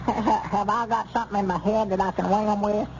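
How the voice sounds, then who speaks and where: High folksy old southern storekeeper, dim n stubborn, old radio comedy, muffled n hissy. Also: male voice, fairly even intonation, creaky. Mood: puzzled. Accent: rural Southern US. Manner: folksy, literal-minded, halting. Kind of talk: 1940s radio comedy acting.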